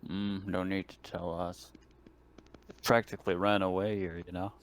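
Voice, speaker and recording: A man's voice: low-pitched, flat delivery, moderate pace. A man playing a tabletop role-playing game, speaking casually in an understated, polite and laconic way.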